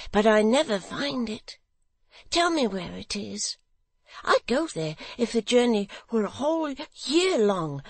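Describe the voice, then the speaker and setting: A woman's voice: medium pitch, breathy edge, no room sound, voice pushed up. A woman voicing an eager, simple-minded young man who talks in a breathless, wondering and pleading way.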